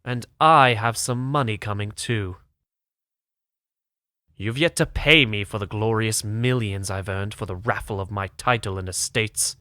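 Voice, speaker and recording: A man's voice: fairly low pitch, unhurried, pushed and bright, no room sound, studio quality. A man playing a haughty, sneering aristocrat in a comic dub, speaking with articulate sarcasm. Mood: indignant, haughty. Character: Prince Charming, haughty sneering dispossessed aristocrat. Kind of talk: amateur comic dub acting.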